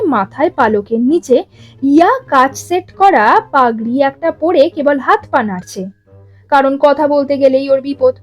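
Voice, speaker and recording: Casting: female storyteller, high voice, leisurely expressive narration over soft music.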